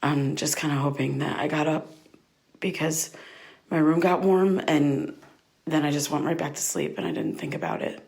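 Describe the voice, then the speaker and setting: A woman acting an anxious, sleepless young woman who rambles haltingly in a naturalistic audio diary drama. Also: sits low, lively intonation, creaky, darkish tone, husky, exaggerated voice. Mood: shaken, rambling. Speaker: lead actor in a fiction podcast.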